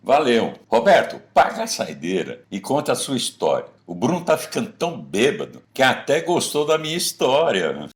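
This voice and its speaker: A man's voice: mid-range voice, huge pitch swings, normal speed, few pauses. A man with a Brazilian accent playing a middle-aged guy telling old confessions to drinking buddies in a casual radio drama. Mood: teasing. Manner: colloquial, storytelling. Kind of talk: radio drama acting, casual bar chat.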